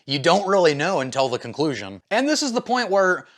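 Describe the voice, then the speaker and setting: Guy doing a casual movie review, slightly high voice, into it and slangy, lots of opinions. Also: sing-song, a little fast. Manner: informal, enthusiastic, slangy. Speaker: movie reviewer, video host.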